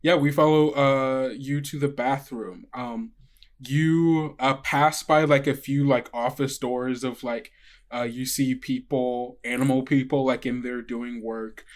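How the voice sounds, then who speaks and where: A game master narrating a tabletop roleplaying game casually, in a halting, conversational and descriptive way. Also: medium pitch, fairly even intonation.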